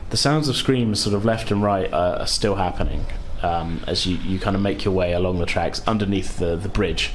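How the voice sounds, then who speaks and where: A low-pitched British man narrates as the game master. He describes the scene at an unhurried pace, pausing often on ums. A faint hiss sits under his voice. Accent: British English. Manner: unhurried, hesitant with frequent ums.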